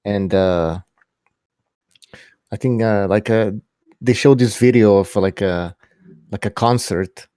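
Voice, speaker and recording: Hesitant low-voiced podcast co-host, a man. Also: slow pace, pauses often, warm tone, clear, resonant tone, dry recording, studio quality. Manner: hesitant, lots of fillers. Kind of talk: casual talk show chat.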